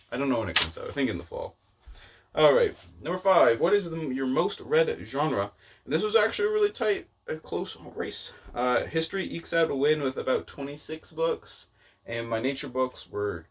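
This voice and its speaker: A man chatting casually as a book vlogger, rambling off on tangents and peppering his talk with "like" and "you know.". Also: lowish voice. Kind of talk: casual rambling chat to camera.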